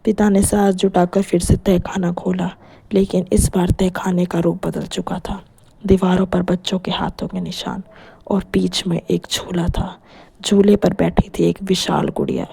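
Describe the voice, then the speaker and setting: Woman narrator reading a scary story, mellow warm voice, scripted delivery. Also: lowish voice, vocal fry, breathy edge.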